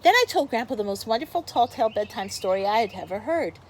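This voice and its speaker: Lady teacher doing storytime for little kids, kinda high voice, expressive reading, some noise in the background. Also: fast talker. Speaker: teacher reading a picture book aloud to pupils. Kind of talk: read-aloud storytelling for young children.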